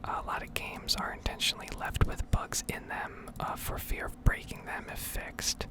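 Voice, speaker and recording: Whispering woman, rambling gaming ASMR. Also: normal speed. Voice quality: breathy, unvoiced whisper. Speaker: gaming ASMR video creator.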